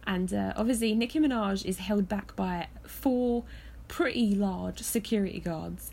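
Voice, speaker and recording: Sick lady podcaster chatting from bed, croaky gravelly voice, bit of hiss behind. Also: mid-range voice, unhurried, darkish tone. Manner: chatty, laughs often. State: hoarse from illness. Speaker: podcast host. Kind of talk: casual chat. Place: in bed at home.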